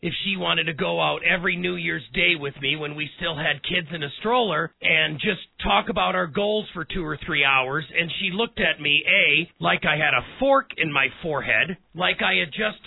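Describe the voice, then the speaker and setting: A man hosting a radio talk show, hoarse and raspy today, speaking in a folksy way straight to his listeners. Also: on the high side, normal speed, hardly any pauses, no echo. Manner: folksy, direct address to listeners. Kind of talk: casual one-on-one radio talk. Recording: tinny phone-like sound.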